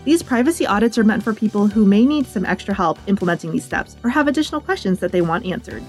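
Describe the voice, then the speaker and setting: A woman's voice: mid-range voice, animated, fast talker, a little mellow, soft background music. A woman host reading sponsor and outro copy in an upbeat, friendly scripted way. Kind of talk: scripted ad read.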